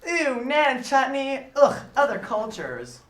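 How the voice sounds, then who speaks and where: Woman doing a high, squeamish puppet character. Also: sing-song, slow pace, fairly bright tone, distant mic, full character voice. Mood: disgusted. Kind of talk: sock puppet movie parody. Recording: echoey room, off-mic.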